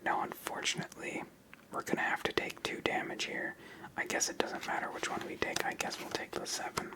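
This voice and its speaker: Someone whispering asmr thru a card game, gentle, explaining each move step by step. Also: a little fast, low-quality recording. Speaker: host playing a solitaire card game.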